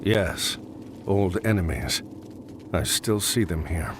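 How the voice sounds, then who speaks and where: A man's voice: low-pitched, slow. A man playing a proud, battle-weary middle-aged admiral in a mythic video game, stern and resolute. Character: proud, battle-weary Greek-myth admiral, a seasoned hero. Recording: faintly noisy game capture.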